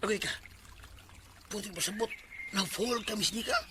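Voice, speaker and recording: A man's voice: high-pitched, normal speed, close to an everyday voice. An actor playing a nosy, blunt male friend who teases and admonishes, with a Cebuano Bisaya accent in a radio drama. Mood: probing, admonishing. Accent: Filipino, Cebuano Bisaya. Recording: noisy radio drama recording.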